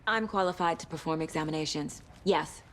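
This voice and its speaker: Game agent: analytical, composed, lower-pitched female voice, measured delivery over noisy ambience. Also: a bit bright. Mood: composed, businesslike. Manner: measured, matter-of-fact. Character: composed, analytical woman FBI agent investigating a death. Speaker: investigator. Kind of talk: video game acting.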